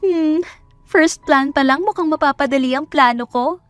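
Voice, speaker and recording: Woman acting sassy scheming rich girl, high-pitched. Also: moderate pace, dry recording, faint music behind, natural voice. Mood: gleeful, scheming. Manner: sarcastic, dramatic, code-switching between Tagalog and English. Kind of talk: audio romance story character voice.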